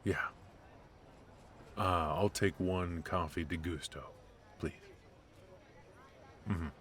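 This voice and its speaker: A male voice actor plays a ruthless, suave mafia boss in an intimate noir roleplay. His voice sits very low and he takes his time, leaving pauses between short phrases. He is smooth-talking, teasing and menacing. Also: fairly even intonation, a bit bright, a little hiss or hum. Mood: playful, smug.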